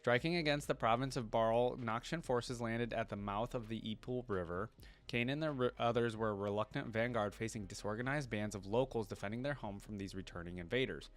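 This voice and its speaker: A man's voice: mid-range voice, brisk, soft background music. A man hosting a reaction video, switching between reading a story aloud and thinking through it in loose, everyday commentary.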